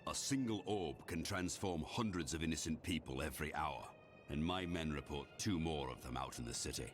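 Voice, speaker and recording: Extremely deep-voiced, grave general. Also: male voice, a little fast, faint music behind. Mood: grim. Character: grave male army general reporting to a hero. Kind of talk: video game dramatic acting.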